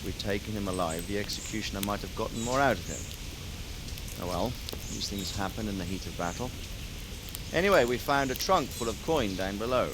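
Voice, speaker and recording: An actor playing a middle-aged medieval lord in a video game, a grave and fatherly commander who speaks in a measured, authoritative way. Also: on the low side, lively intonation. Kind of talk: dramatic video game cutscene acting. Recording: clear rain and ambience noise behind.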